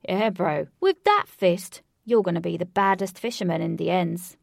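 A woman's voice: lowish voice, normal speed, creaky, no echo, clean studio sound. A woman voicing a hot-headed young man in a storybook, with punchy street slang. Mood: hyped.

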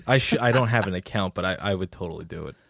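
A young man with a fairly low voice talks through a casual podcast chat, rambling and restarting, circling back on himself with lots of "like". He sits close to a podcast mic, and the sound is very dry with no room echo. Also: fast, fairly bright tone. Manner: rambling, many restarts and "like" fillers. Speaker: podcast guest.

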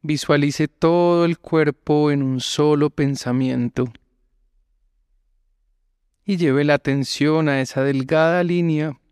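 Slow, smooth-voiced man guiding relaxation. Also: mid-range voice, no room sound, studio quality. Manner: calm, measured instructions with long pauses. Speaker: yoga nidra instructor. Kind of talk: slow guided relaxation meditation.

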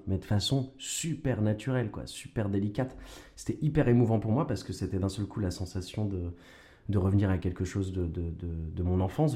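A young man from Canada, an actor, telling his story casually with slang and frequent restarts. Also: sits low. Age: young adult. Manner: relaxed, slangy, stumbling restarts. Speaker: actor and guest. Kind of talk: casual conversation.

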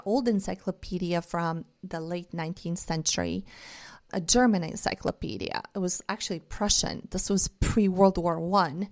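A woman's voice: fairly low pitch, a little mellow. Earnest woman. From Bulgaria. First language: Bulgarian. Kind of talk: reflective monologue.

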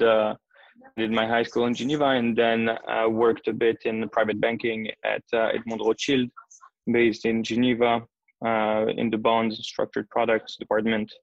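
A man's voice: medium pitch, creaky, no room sound. A man in his thirties from Switzerland, a venture capital investor answering interview questions methodically, laying out points one by one with frequent fillers. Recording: dull lo-fi dry sound.

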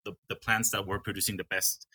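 A man's voice: on the low side, flat delivery, brisk, pushed and bright, slight background noise. A young man from Peru who manages a coffee farm, talking in a casual interview and often stopping to restart his sentences. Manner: hesitant, with many restarts.

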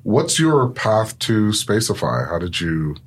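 Husky-voiced podcast host. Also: male voice, lowish voice, slow. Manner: relaxed, brief prompting questions. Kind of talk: interview hosting. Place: podcast studio.

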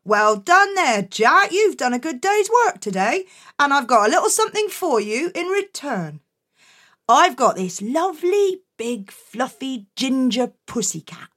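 A woman's voice: high-pitched, sing-song, a little slow, fairly bright tone, heavily acted. A woman voicing a friendly male baker in a children's story, kindly and matter-of-fact.

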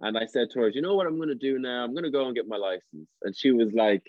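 Chatty young Irish actor on a call. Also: male voice, medium pitch, fast, dry recording. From Ireland. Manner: chatty, restarts sentences, laughs often. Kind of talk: casual interview over video call. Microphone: video call. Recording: dry, dull lo-fi call audio.

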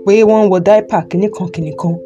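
A man plays an elderly Yoruba grandfather from a Nigerian audio drama. He is stern and admonishing, laying out his points deliberately in a Yoruba accent. Music plays clearly under his words. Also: high-pitched, steady tone, highs cut off, natural voice. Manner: admonishing, deliberate, switches between Yoruba and English.

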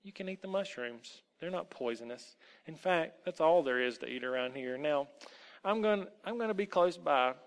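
Storybook villain: nasty old male elf, played by a man, gravelly, sneering, in long reverb. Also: on the high side, lively intonation, moderate pace. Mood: bossy. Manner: mocking, sneering, bossy.

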